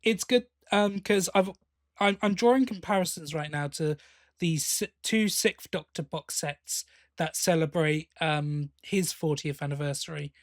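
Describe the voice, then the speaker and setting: A man's voice: fairly high pitch, measured pace, no echo, studio quality. A man co-hosting a podcast in casual review chat, often hesitating and correcting himself as he goes. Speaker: podcast co-host.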